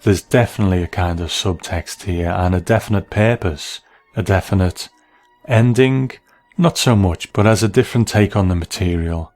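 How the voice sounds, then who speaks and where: A man hosting a show, giving measured, reflective commentary as he reviews a piece. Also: deep voice, a little mellow. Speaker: podcast host. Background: music.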